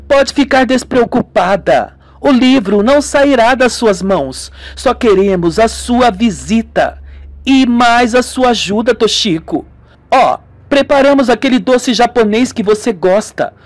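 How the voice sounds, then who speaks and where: A young woman of a radio melodrama, played by a man, eager and scheming. The pitch is high and very lively, the manner emphatic and coaxing. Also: unhurried, dry recording.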